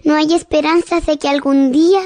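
A woman's voice: high-pitched, fairly even intonation, moderate pace, darkish tone, faint music behind, close to an everyday voice. A woman playing a serious, disillusioned seven-year-old daughter in a radio drama, speaking earnestly and wistfully. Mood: pleading, disillusioned.

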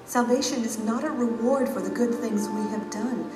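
An actress playing a composed woman of a royal court in an audio drama, explaining in a measured, didactic, reproving manner. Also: fairly high pitch, natural voice. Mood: composed reproof. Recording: echoey room, music underneath.

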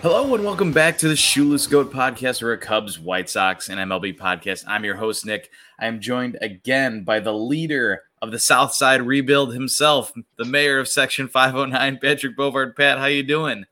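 Slightly hoarse male podcast host. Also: mid-range voice, moderate pace, few pauses, bright, forceful tone, soft background music. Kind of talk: casual sports podcast chat.

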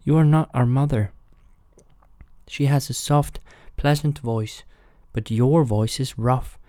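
A man puts on a little goat kid from a storybook, pitched well up. The voice is childlike and firm, a cautious youngster who stands up for itself, recorded close and dry. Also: slow, mellow, dry recording, natural voice. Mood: defiant.

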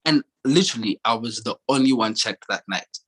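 A young man from Kenya in casual chat, telling stories in a relaxed way with many fillers and restarts. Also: medium pitch, brisk, husky.

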